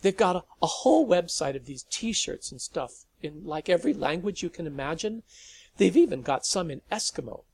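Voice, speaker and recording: A nervous, earnest teenage boy stammers and rushes through his plea, as a male reader puts him on. The voice is pitched up and gravelly, with a husky edge. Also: expressive, a little mellow.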